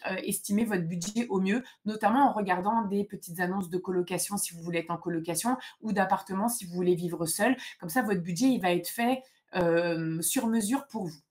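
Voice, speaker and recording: A woman's voice: mid-range voice, fairly quick, few pauses, a little mellow. Woman giving casual, emphatic live advice. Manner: emphatic, direct, advising listeners. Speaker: host of a live question-and-answer session. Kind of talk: casual informal live Q&A, explaining procedures.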